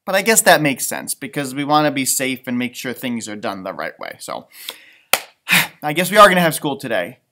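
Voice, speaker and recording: A man's voice: mid-range voice, moderate pace, background noise. American guy in his 30s doing kids storytime, super swoopy voice, playful n theatrical. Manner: playful, expressive, theatrical, with sudden loud and hushed turns. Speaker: elementary school storybook reader, teacher. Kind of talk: animated children's read-aloud with chatty asides. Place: room with bookshelves, indoors.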